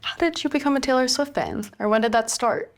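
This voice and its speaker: Young woman host in her 20s, kinda monotone, laid-back, slightly higher voice. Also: brisk, noisy background. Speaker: podcast host. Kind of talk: casual chat interview.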